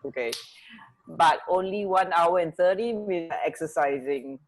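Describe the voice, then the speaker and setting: A woman's voice: lowish voice, moderate pace, rough recording. A woman co-hosting a radio show, sharing trivia in an informal, giggly conversational way. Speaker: radio co-host. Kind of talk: casual chat, sharing trivia.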